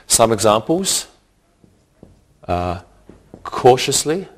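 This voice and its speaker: A man teaching an English grammar lesson in a scripted, deliberate, instructional way, enunciating carefully. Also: medium pitch, big pitch swings.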